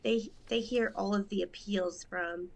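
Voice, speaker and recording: A woman's voice: medium pitch, steady tone, vocal fry, darkish tone, slight background noise. A woman in her thirties from Rochester, New York, a judicial candidate talking earnestly and in detail in an interview. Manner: earnest, detailed, quick in stretches. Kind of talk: casual interview talk.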